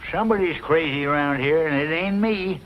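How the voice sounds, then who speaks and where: A man's voice: fairly high pitch, a little fast, lightly acted. A man playing a folksy, grumbling sidekick in an old-time radio western. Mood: defiant. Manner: folksy drawling slang, grumbling. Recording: muffled old radio recording.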